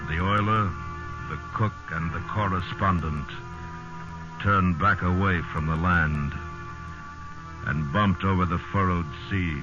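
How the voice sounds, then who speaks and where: Low, solemn radio narrator. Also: male voice. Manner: solemn, measured, deliberate. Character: grave male literary narrator of a sea tale. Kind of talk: 1950s radio drama narration. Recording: muffled vintage radio recording with music. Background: loud dramatic music.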